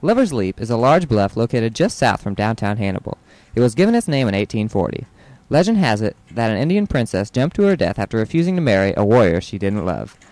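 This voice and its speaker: A man's voice: lowish voice, very animated, fast, darkish tone, dry recording, rough recording. A man reading scripted voice-over narration in an informative, read-aloud way.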